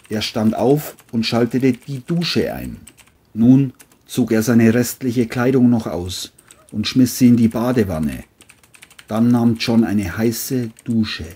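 Mellow, husky male narrator. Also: mid-range voice. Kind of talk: scripted audiobook narration.

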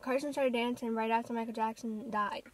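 A woman's voice: fairly high pitch, steady tone, brisk, rough recording. A nineteen-year-old woman chatting easily with her fiancé for a vlog in a parked car, camera held at arm's length. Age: young adult. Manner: easygoing, lots of "like". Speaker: vlogger. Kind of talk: casual chat.

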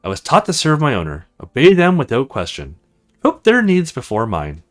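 A man's voice: medium pitch, wildly swooping pitch, moderate pace, fairly bright tone, faint music behind. A man voicing a submissive young servant woman in a visual novel, meek, earnest and obedient. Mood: devoted, meek.

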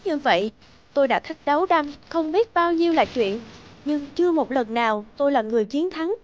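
A woman's voice: high-pitched, lively intonation, a little fast, dull audio, slight background noise. A woman voicing a feisty, competitive teenage schoolgirl in a cartoon drama, speaking in an earnest, emphatic way. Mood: wistful, determined. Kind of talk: animated drama dubbing.

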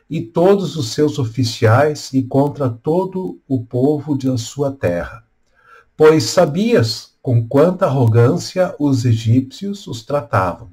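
An older Brazilian man speaks as a pastor giving a devotional. His medium-pitched voice has a husky grain. He moves slowly and deliberately, pausing between short phrases. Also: mellow. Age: older, around 60s. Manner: measured, deliberate phrasing with pauses. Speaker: Lutheran pastor. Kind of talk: devotional talk with scripture reading and prayer. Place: small room at home or office, indoors. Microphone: webcam at desk distance.

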